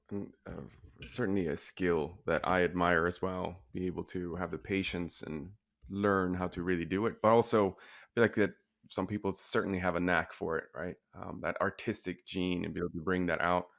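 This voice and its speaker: A man from Sweden hosting a casual interview podcast, talking in a relaxed, thoughtful way with many fillers. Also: deep voice, vocal fry. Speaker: podcast host.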